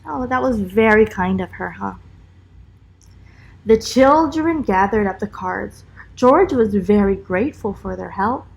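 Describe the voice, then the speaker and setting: A woman's voice: mid-range voice, animated, measured pace, creaky, a little mellow, slightly rough, low-quality recording. A woman teacher reading a children's storybook aloud expressively, with encouraging little asides to young listeners.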